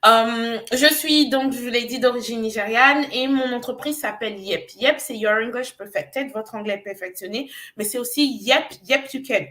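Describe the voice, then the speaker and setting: Nigerian-born woman coach, slightly high voice, earnest sales pitch, hesitant pauses. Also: pushed and bright. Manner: earnest, persuasive, with frequent hesitations. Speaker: business coach. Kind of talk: promotional pitch on a live show.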